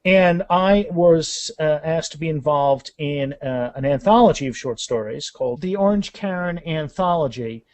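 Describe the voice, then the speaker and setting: Male podcast guest, author type. Somewhat high register, conversational and rambling, casual interview delivery. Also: moderate pace, few pauses, darkish tone. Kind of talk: casual interview chat with anecdotes.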